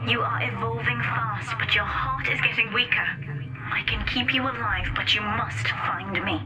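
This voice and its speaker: An actress playing a gentle, earnest young daughter in a game, soft-spoken and pleading. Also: female voice, fairly high pitch, steady tone, moderate pace, loud music behind the voice, natural voice, poor audio. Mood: tender, urgent.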